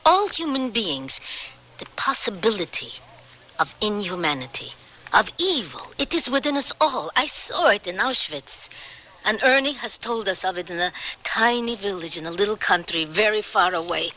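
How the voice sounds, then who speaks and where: An actress playing a grave, forgiving woman survivor in a radio drama, speaking solemnly and deliberately. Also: medium pitch, pushed and bright, poor audio. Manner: solemn, deliberate, rhetorical delivery.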